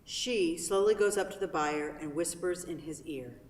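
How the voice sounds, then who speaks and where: A woman's voice: sits low, normal speed. A woman reading stage directions as scripted narration at a staged play reading. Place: theatre hall. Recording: distant mic in echoey hall.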